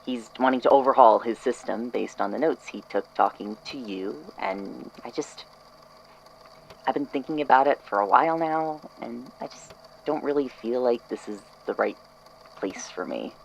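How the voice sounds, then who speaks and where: An actor playing an earnest, tentative, loyal coworker in an audio drama, with hesitant, halting phrasing. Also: mid-range voice, creaky, a little hiss or hum, lightly acted, poor audio. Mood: apologetic, nervous. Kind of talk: fan audio drama acting.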